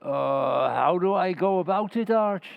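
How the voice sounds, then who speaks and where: A man doing a dopey slow barfly. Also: sits high, lively intonation, gravelly, muffled recording, full character voice. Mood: puzzled. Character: dim-witted slow male barfly. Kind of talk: 1940s radio acting.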